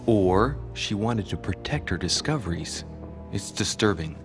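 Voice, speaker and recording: Earnest young gentleman investigator, low-pitched. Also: expressive, measured pace, music in the background. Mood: intrigued. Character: eager, inquisitive young gentleman investigator searching a manor. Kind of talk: video game cutscene acting.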